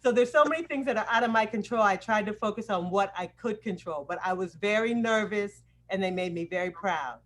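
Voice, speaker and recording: A woman's voice: medium pitch, fairly quick, few pauses. A woman hosting an informal question and answer session as a director and acting coach on a video call. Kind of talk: informal live Q&A talk. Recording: noisy video call with clicks.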